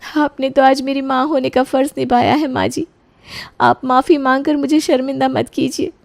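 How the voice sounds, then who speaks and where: A woman's voice: high voice, fairly even intonation, normal speed, creaky, dry recording, pitched above the performer's own voice. A woman voicing a timid, distressed young daughter-in-law in an audio story, meek, respectful and anxious. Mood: ashamed, anxious.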